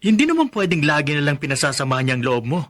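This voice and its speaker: High-voiced, protective Filipino husband. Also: male voice, big pitch swings, quick pace, a bit bright. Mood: worried, firm. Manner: earnest, concerned. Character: worried, protective young husband caught between wife and aunt. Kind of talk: Filipino radio drama acting.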